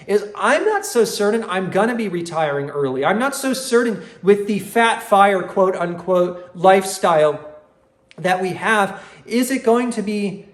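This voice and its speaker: A man in his forties explaining things to viewers as a host, in an emphatic manner, repeating words for stress. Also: high-pitched. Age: 40s. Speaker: host of an investing channel. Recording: roomy, echoing room sound.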